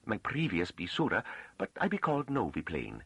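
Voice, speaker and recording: A young peasant farm woman, played by a man reading a story, speaks in a raised, breathy voice. She is bashful and earnest with a fierce streak, and her rustic, broken phrasing rises and falls freely. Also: on the low side, wildly swooping pitch, moderate pace, fairly bright tone, no room sound, pitched above the performer's own voice, full character voice. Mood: timid, breathless.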